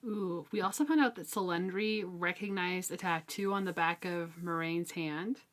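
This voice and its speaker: A woman talking through a book with her co-host in a loose, chatty way, laughing often. Her voice sits low, with a slight roughness from a cold she is still getting over. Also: moderate pace. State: recovering from a cold. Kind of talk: casual book discussion.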